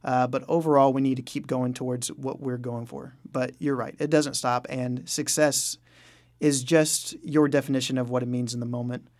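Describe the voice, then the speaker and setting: A man's voice: mid-range voice, level tone, normal speed, darkish tone. A man answering interview questions as a musician guest, speaking informally and telling things as anecdotes. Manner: informal, anecdotal, quotes his own reactions. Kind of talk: casual conversational interview answers.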